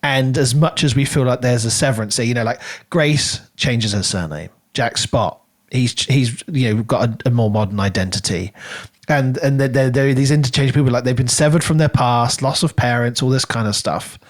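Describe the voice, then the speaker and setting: A man's voice: medium pitch, normal speed. A man co-hosting a podcast, talking through a book in a casual, conversational and rambling way. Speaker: podcast co-host reviewing a book.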